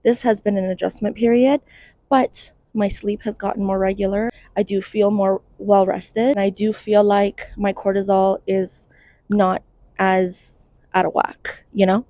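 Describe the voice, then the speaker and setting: A woman's voice: mid-range voice, little pitch movement, unhurried, warm tone, no room sound. A young woman of Iranian background giving casual, candid mental health advice in a chatty, wry way. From Iranian diaspora.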